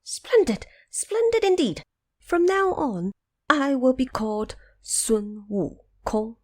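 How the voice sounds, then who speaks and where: A woman playing an eager, naive male monkey king in an audio drama, earnest and excitable. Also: high-pitched, takes it slowly, pitched above the performer's own voice, full character voice. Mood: overjoyed.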